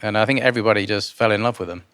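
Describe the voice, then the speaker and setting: A man with a fairly low, smooth and resonant voice, speaking as an interview guest. His answers are informal and hesitant, with words repeated as he goes. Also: racing, a bit bright. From born in Guyana. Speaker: interviewee, philanthropist and investor.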